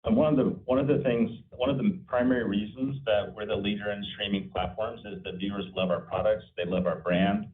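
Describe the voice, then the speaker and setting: Fast-talking low-voiced man on a phone-like line. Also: fairly even intonation. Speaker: company executive. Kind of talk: off-the-cuff answers on an earnings call. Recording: distant mic, muffled phone-like conference line.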